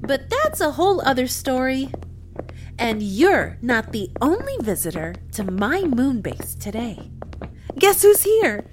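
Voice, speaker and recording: High-voiced, breezy female quartermaster. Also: sing-song, unhurried, slight background noise. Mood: breezy, welcoming. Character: breezy, wry female quartermaster of a moon base. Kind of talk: audio drama acting.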